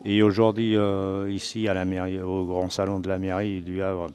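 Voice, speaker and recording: A man in his fifties who was born in Portugal answers interview questions in a hesitant, unpolished way, often pausing and restarting. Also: low-pitched, level tone, darkish tone. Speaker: president of a community association. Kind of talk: interviewed guest.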